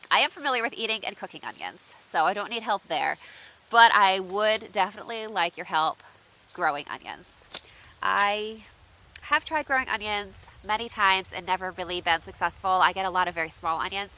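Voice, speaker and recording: A woman's voice: mid-range voice, pushed and bright, rough recording. A woman chatting casually outdoors to her viewers as she unboxes seeds, sounding a bit stuffy and congested from allergies. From United States. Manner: chatty, asks viewers for tips. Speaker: homestead vlogger. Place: outdoors under shifting trees.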